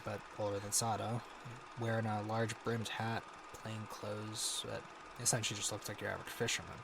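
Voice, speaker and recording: An actor playing a haunted American war veteran, recounting grim horrors haltingly in an audio drama log. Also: male voice, lowish voice, noisy background, lightly acted. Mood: tense, sober. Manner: halting, sighing, hesitant delivery.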